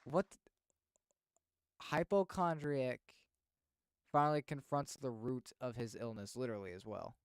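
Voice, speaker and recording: Male streamer, clear resonant voice, casual reaction commentary, expressive, thinking aloud, dry close sound. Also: medium pitch, a little slow, pauses often, dry recording.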